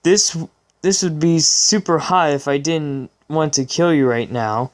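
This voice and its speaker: A man's voice: fairly high pitch, measured pace, pitched above the performer's own voice, natural voice. A man voicing a boastful, melodramatic, self-adoring young pony warrior from a fan fiction story. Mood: menacing. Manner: grandiose, melodramatic. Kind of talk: fan fiction read aloud.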